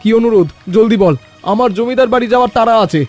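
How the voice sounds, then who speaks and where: A man playing a greedy, lazy, deceitful villager in an animated children's story, pushy, boastful and impatient. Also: very high-pitched, fast talker, soft background music. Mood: defiant, impatient.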